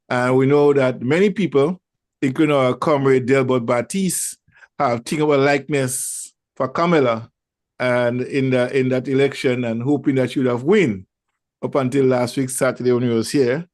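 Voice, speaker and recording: A man's voice: medium pitch, steady tone, normal speed, darkish tone, dry recording, studio quality. Male talk radio host, chatty and rambling. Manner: rambling, many fillers and restarts. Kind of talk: casual talk show hosting. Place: lives in Toronto.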